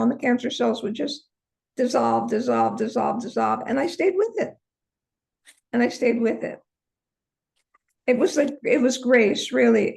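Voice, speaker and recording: An older woman with a hoarse voice, telling her personal story as an interview guest. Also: on the high side, a little mellow. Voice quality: hoarse, from a vocal cord injury. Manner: earnest storytelling, many ums. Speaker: interview guest, holistic practitioner.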